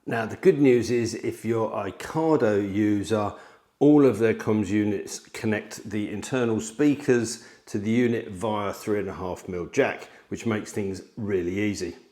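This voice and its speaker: A man's voice: fairly low pitch, a little slow, warm tone. A middle-aged man explaining a product to camera in a conversational way, recorded indoors in a studio on a close mic. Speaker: product presenter. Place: indoor studio, seated at a table.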